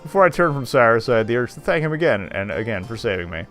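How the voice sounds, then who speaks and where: A man's voice: mid-range voice, very animated, quick pace, a bit bright, faint music behind. A man reading game text aloud and adding wry, casual commentary as a streamer.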